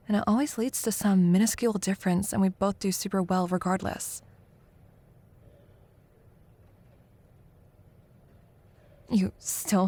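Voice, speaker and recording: A young woman playing a competitive college student in an intimate audio roleplay, a teasing, prickly but secretly fond rival who talks haltingly. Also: mid-range voice, darkish tone, dry recording, close to an everyday voice. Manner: teasing, halting, flustered deflection.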